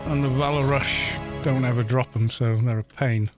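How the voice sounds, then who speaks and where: A man's voice: mid-range voice, fairly even intonation, normal speed. A man live-streaming an online game, chatting casually with viewers in rambling, mildly sweary banter, with music behind him. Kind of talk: casual gaming chat with viewers. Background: background music.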